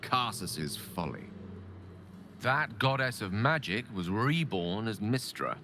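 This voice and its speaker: A man acting as an eloquent, ambitious wizard in a video game, speaking in theatrical, erudite phrases with dramatic pauses. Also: lowish voice. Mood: storytelling. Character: ambitious, eloquent male wizard hungry for godlike power. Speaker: game character, wizard companion.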